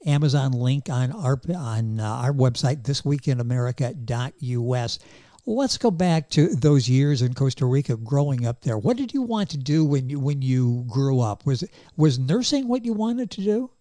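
A man's voice: medium pitch, lively intonation, normal speed, mellow, dry recording, clean studio sound. A man hosting an interview program, genial and upbeat with an announcer's delivery. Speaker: radio talk show host.

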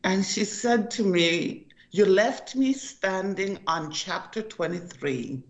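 A woman's voice: mid-range voice, takes it slowly, gravelly. An older woman from Costa Rica, a guest author in an interview, who talks in a chatty, storytelling way and tends to repeat herself. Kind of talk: interview conversation.

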